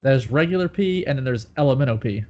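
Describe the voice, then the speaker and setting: A man's voice: medium pitch, lively intonation, fast talker, mellow. A nasal man with a Southern US accent chats loosely on a podcast, piling up "like" between jokes. His voice sounds stuffed up. The recording is dull and lo-fi. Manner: joking, rambling, lots of "like". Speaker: podcast co-host. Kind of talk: casual chat.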